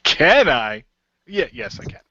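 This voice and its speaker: A man's voice: fairly high pitch, very animated, slow pace, bright, forceful tone, dry recording, highs cut off, pitched above the performer's own voice, exaggerated voice. A man voicing a cocky, playful shapeshifting genie hero in an audio roleplay, taunting and joking as he goes. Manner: taunting, jokey.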